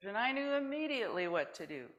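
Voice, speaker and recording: A woman's voice: a little high, sing-song, fast talker, fairly bright tone. A woman presenting at a worship service, reading short personal stories aloud to a congregation.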